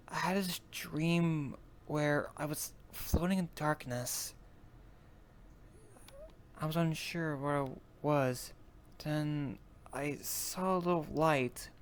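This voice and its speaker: A man playing an easygoing, unsure adventurer in an improvised online roleplay, talking casually and haltingly. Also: fairly high pitch, a little hiss or hum. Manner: hesitant, halting, searching for words. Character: easygoing, unsure young adventurer with an elemental bracelet. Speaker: roleplay player. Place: virtual reality voice chat.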